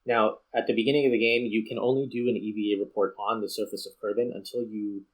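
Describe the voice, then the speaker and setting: A man's voice: on the low side, flat delivery, quick pace, low-quality recording. A man hosting a gameplay video with casual commentary, thinking aloud as he explains. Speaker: video game streamer and host.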